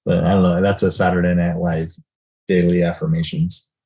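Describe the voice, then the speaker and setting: A man's voice: sits low, flat delivery, moderate pace, creaky, mellow, slightly rough. A man taking part in a class, answering in a joking, offhand way. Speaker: class participant.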